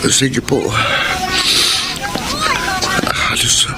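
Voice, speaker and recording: An actor playing a destitute, pleading young man in a Filipino radio drama, humble and halting in his speech. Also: heavy background noise, natural voice. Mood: pleading, weary. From Negros, Philippines (the figure's home). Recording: radio drama with loud music bed.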